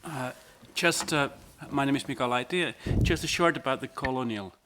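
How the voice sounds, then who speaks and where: A man's voice: medium pitch, normal speed, background noise. A man from Finland speaking as a panelist in a plain, explanatory way. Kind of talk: panel discussion.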